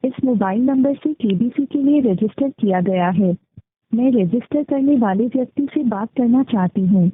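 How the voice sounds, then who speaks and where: A woman's automated phone menu voice reading scripted prompts in a formal, measured, instructional manner. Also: on the high side, husky. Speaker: automated phone line announcer. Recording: muffled, phone-like, roomy call playback. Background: phone call recording played back.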